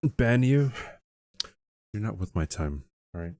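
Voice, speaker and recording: A man streaming a game live, chatting casually with viewers in a joking, rambling way, with a calm radio-type voice. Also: on the low side, a little slow. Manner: joking, rambling, many fillers.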